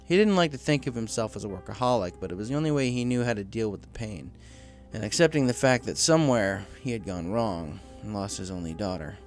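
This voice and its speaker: A man's voice: lowish voice, expressive, brisk, faint music behind. A man reading scripted fiction aloud as a story narrator.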